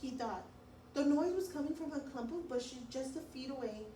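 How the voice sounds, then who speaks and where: Woman, preschool teacher, high voice, children's story-time delivery, distant mic with faint noise. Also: moderate pace, warm tone, roomy sound. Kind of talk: children's read-aloud and lesson chat.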